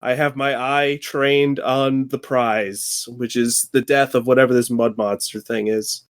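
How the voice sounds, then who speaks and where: A man's voice: medium pitch, steady tone, measured pace, clean tone, dry recording. A man playing a tabletop game, chatting and joking in a slangy, mock-exasperated way. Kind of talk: casual joking game chat.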